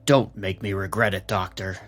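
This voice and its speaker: An actor playing a gruff, commanding police captain, curt and sardonic, in a noir audio drama. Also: male voice, deep voice, steady tone, normal speed, a bit bright, soft background music. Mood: stern, commanding. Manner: curt, authoritative.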